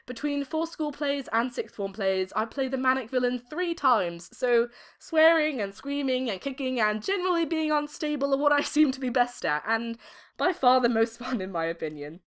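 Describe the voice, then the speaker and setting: A young woman giving articulate, expressive interview answers as an amateur voice actress. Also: high-pitched, normal speed, clean tone. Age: young adult.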